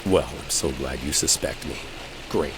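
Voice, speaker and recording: A man's voice: a bass voice, normal speed, gravelly. A man playing an irritated, sarcastic coworker arguing as he drives, in a roleplay audio drama. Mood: curt, fed up. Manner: irritated, sarcastic, swearing, argumentative. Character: exasperated, sarcastic male coworker arguing while driving. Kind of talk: enemies-to-lovers roleplay audio acting. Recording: rain and car noise behind. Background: rain storm and vehicle ambience.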